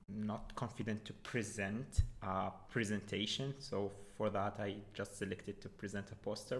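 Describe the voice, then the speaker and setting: A young man from Morocco with a Moroccan accent and Arabic as his first language speaks as a student guest. His voice sits fairly low. He explains things informally and haltingly, often repeating words.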